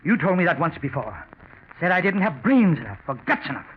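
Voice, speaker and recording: Vengeful killer in muffled old radio. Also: male voice, on the high side, sing-song, fairly quick. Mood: gloating, commanding. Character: vengeful middle-aged businessman turned killer, posing as hobo. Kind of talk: 1940s radio acting. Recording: muffled, hissy 1940s radio recording.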